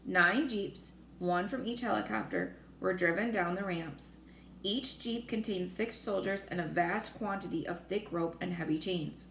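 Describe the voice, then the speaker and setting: A woman reading a children's story aloud in an expressive narrating style. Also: on the low side, measured pace. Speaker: storybook reader. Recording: roomy echo with faint noise.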